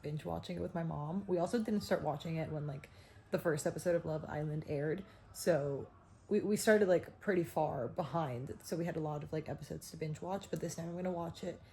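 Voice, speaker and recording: A young woman in her twenties chats through her workday in a rambling vlog, her voice low and mellow and slightly congested from a cold. She fills her sentences with likes and ums. The sound bounces around an echoey room with a faint hum behind it. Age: 20s. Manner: chatty, rambling with frequent "like" fillers. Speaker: vlogger. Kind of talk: casual vlog chat. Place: home and office.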